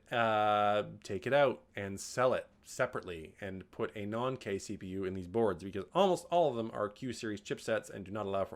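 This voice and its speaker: Jokey man hosting a tech how-to. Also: medium pitch. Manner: jokey, swears casually, lively bursts on tip headings. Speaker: tech video host. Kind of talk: casual instructional talk. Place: indoors with camera, showing parts in hand.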